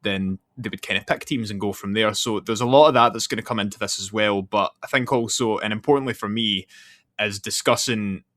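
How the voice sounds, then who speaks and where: Male podcast host, low voice, dry close recording, rambling explainer. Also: fairly quick, fairly bright tone, no room sound. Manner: talkative, explains at length with fillers. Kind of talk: casual podcast interview.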